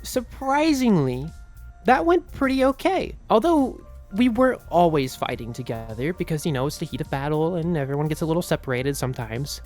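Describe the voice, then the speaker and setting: Woman voicing a nervous, excitable young cat, lively pitch. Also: faint music behind. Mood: cheerful. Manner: hesitant, halting, with bursts of excitement. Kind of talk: improvised in-character fan Q&A.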